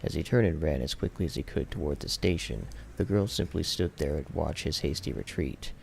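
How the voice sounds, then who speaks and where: Very deep, gravelly man narrating fiction. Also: brisk, darkish tone, a little hiss or hum. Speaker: audiobook narrator. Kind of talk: serious dramatic fiction reading.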